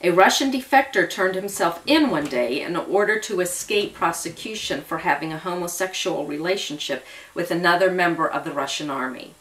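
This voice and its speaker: A woman voicing a calm, serious older retired army intelligence man in an audio story, explaining in a measured way. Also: on the low side, a little hiss or hum. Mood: grave. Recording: voice distant from the mic.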